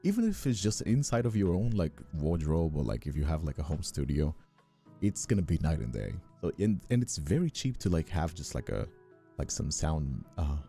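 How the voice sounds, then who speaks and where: Very deep, gravelly, dark-voiced man. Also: sing-song, moderate pace, soft background music. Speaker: streamer and voice actor hosting an ASMR livestream. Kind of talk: unscripted ASMR livestream chat.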